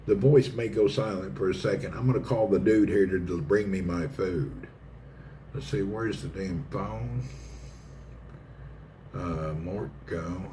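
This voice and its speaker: A man's voice: sits low, unhurried, noisy background. Joking Southern man streaming live. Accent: Southern American. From American South. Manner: folksy, joking, reads chat aloud, swears casually. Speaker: livestream host. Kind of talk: casual live-stream chat with viewers.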